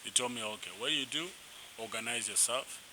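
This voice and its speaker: Animated South Sudanese man telling stories. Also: mid-range voice, a little fast. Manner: animated, rambling, frequent restarts. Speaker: musician guest. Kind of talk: casual interview storytelling. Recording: noisy background.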